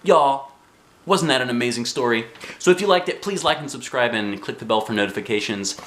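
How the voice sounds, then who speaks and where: A man reading a children's book aloud in an expressive, folksy way, with chatty asides. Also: medium pitch, fairly quick, a little hiss or hum. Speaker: children's book reader and channel host. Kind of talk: animated read-aloud storytelling for children. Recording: roomy home recording.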